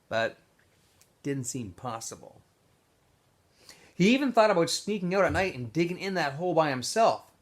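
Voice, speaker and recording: A man reading a novel aloud to students in an expressive, storybook style with emphatic phrasing. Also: fairly high pitch.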